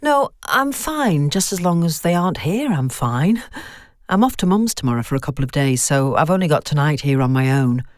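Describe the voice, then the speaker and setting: A woman's voice: sits low, expressive, moderate pace, no room sound, clean studio sound. A woman voicing an anxious, easily startled young woman on the phone in a horror story, hesitant and jittery. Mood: nervous, reassuring. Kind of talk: audio horror story dialogue.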